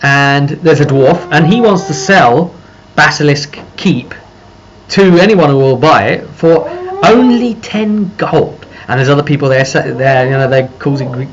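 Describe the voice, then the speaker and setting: A man giving a casual, rambling recap as a game master, halting and circling back over his phrases. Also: a little high. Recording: muffled lo-fi audio with background noise.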